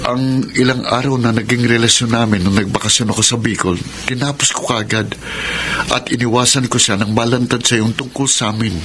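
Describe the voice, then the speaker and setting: A man's voice: medium pitch, steady tone, fairly quick, background noise, close to an everyday voice. A man acting an evasive, defensive young boyfriend in a Filipino radio drama, pleading and prone to stammering. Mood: defensive, nervous. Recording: music under the voice.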